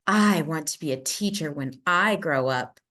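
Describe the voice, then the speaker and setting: Woman as an eager, animated little girl mouse. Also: low-pitched, a little slow, voice pushed down, exaggerated voice. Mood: eager, admiring. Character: eager young schoolgirl mouse, excitable and impatient.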